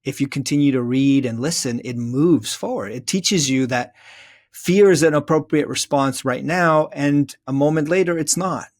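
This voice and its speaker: A man's voice: on the high side, normal speed. A man speaking as an interview guest, reflecting in an earnest, thoughtful and explanatory way. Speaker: interview guest, therapist and author.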